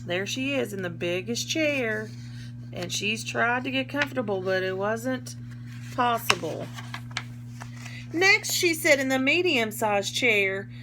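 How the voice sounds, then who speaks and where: A woman reading a picture book aloud to young children like a teacher, expressively, pausing to explain words in simple terms. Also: fairly high pitch, pushed and bright, a little hiss or hum, rough recording.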